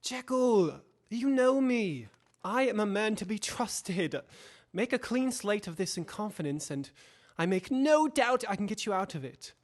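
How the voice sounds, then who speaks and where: A man acting in an audio drama as an earnest, worried Victorian gentleman, speaking formally and insistently. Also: sits high, very animated, unhurried. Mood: urgent, earnest.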